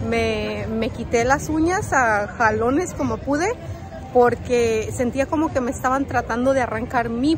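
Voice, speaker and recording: A woman, filming a casual walking tour on her phone and chatting to her viewers as friends. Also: on the high side. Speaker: vlogger. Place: large outdoor swap meet. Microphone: phone camera held while walking. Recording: outdoor market with crowd and music. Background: crowd chatter and music at the market.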